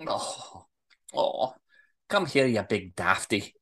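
A woman's voice: very deep voice, very animated, moderate pace, slightly rough, exaggerated voice. A woman playing a feisty, indignant Scottish woman in acted drama dialogue, with blunt, colloquial delivery. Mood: sweetly teasing. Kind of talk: amateur script read-through, acted dialogue.

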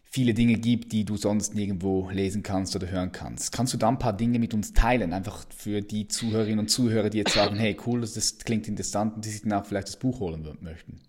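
A man with a lowish voice leads an interview. His questions run long and winding as he asks for details for the listeners. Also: fast talker, hardly any pauses, a little mellow. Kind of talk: casual interview.

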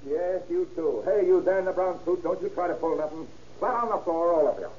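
Man voicing a swaggering slangy gangster, mellow voice, muffled old radio recording. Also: sits high, fairly quick, a little hiss or hum, lightly acted. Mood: threatening. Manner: slangy tough-guy talk. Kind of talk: 1930s radio acting.

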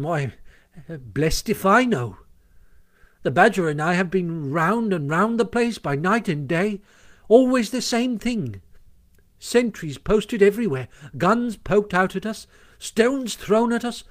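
A warm-hearted storybook mole, played by a man, with a high voice. He is earnest and exclamatory in the way he talks. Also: no echo, clean studio sound. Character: eager, warm-hearted, easily impressed male mole. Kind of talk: storybook character voice.

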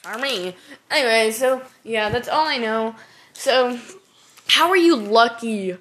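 A woman's voice: a little high, expressive, takes it slowly, fairly bright tone. Giggly, rambling young-sounding woman host. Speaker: podcast co-host. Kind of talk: casual family podcast chat.

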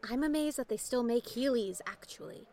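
A woman's voice: on the high side, a little fast, warm tone, lightly acted. A woman playing an indignant, outspoken teenage schoolgirl in an audio drama, talking casually and emphatically. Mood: casual griping. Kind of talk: naturalistic audio drama acting.

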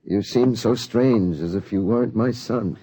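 A man's voice: on the low side, a little slow, warm tone, husky, no room sound, rough recording. A man playing a worried father who keeps asking disbelieving questions in a 1940s radio drama. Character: worried middle-aged father.

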